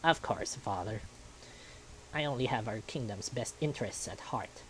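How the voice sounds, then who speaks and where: A man's voice: medium pitch, moderate pace, fairly bright tone, slight background noise, lightly acted, poor audio. A man voicing a young furry animal prince who is sullen and impatient, speaking in a terse, resentful way. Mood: grudging.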